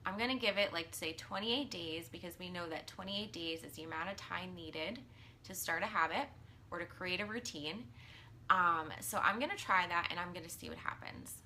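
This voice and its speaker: Bright-voiced woman coach, a bit hoarse. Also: fairly low pitch, moderate pace, slight background noise. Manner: chatty, earnest, with frequent ums and likes. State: slightly hoarse, losing her voice. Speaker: mindset and business coach. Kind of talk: casual live-stream talk, reading viewer comments.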